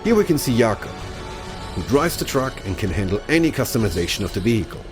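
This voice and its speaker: Lively low-voiced man narrating over music. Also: fast talker. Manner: polished, explanatory. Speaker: presenter of a game broadcast. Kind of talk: scripted promotional narration.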